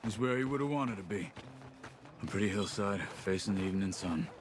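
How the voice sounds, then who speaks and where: Guy doing a quiet thoughtful outlaw, lowish voice, short measured lines, noisy outdoor hoofbeats behind. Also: expressive, close to an everyday voice. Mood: sombre, reflective. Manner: measured, understated, short sentences. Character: stoic, thoughtful male Old West gang veteran. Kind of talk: naturalistic game cutscene dialogue.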